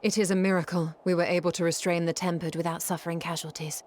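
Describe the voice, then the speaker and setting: Lowish, precise woman acting a fantasy mage. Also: level tone, fast, close to an everyday voice. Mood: puzzled, intent. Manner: precise, formal. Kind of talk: scripted fantasy game acting.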